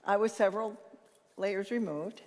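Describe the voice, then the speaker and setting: An older woman with a naturally loud voice speaks in a big, reverberant hall. Her pitch sits in the middle range for a woman. She talks informally as a conference speaker, telling stories and playing out quoted exchanges. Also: moderate pace. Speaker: conference speaker and leadership consultant. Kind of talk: informal conference talk with anecdotes, answering questions.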